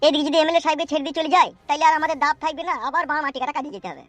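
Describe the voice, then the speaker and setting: A man voicing a crude, cocky yet nervous gangster henchman in a comedy cartoon, whining and excitable. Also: very high-pitched, very fast, no room sound. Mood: worried, grumbling. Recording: dry sound with music behind.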